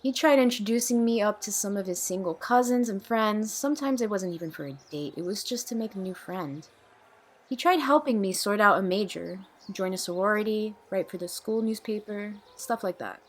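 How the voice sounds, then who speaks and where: A woman's voice: medium pitch, normal speed, natural voice. A woman playing a guarded, troubled young woman in an audio drama, speaking in a hesitant, plain way. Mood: guarded, confessional. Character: guarded, troubled young woman named Nicole, awkward and wary. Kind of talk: audio drama dialogue, naturalistic amateur acting.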